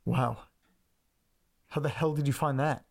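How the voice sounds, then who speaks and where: An actor playing a curious, anxious, apologetic ordinary man in an audio drama, talking haltingly and naturally. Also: mid-range voice, steady tone, vocal fry. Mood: stunned, eager. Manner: halting, repeats himself.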